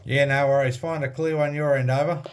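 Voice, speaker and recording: Man, Australian accent, average pitch, relaxed and plain delivery, ham radio operator, casual contact chat. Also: brisk, slight background noise.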